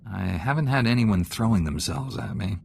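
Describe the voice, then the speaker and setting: A principled male space knight from a video game, low-pitched and serious. His delivery is measured and dry, with faint ambient noise behind the dialogue. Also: animated, gravelly. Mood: skeptical. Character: earnest, principled, straight-laced male Jedi knight hero.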